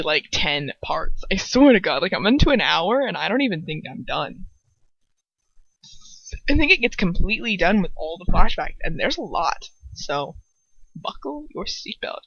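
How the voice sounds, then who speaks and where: A woman's voice: on the low side, big pitch swings, moderate pace, fairly bright tone, slightly rough, dry recording. Woman reading fanfic casually, stops to comment on herself, dry and kinda lo-fi home mic. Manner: informal, self-interrupting. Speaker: amateur fan fiction reader. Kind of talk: informal first-person story narration with casual asides. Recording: dull lo-fi home recording.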